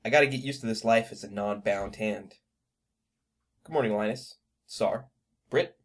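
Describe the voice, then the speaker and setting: A man's voice: on the low side, moderate pace, a little hiss or hum, natural voice. A man acting as a restless ex-military newcomer to a spaceship crew in an audio drama. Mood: restless, curious.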